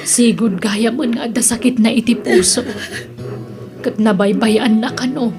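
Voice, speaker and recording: A woman playing a devout, gentle older mother in an Ilocano audio drama, with a solemn, counselling manner. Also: a little high, a little slow. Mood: consoling. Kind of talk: Ilocano radio-style drama acting. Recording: music under the voice.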